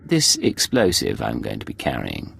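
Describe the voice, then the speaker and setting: A man's voice: extremely low pitch, wildly swooping pitch, normal speed, gravelly, a bit bright, slight background noise, heavily acted. A man playing a cool, terse secret agent with a reserved, clipped manner in a video game. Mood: cool, guarded.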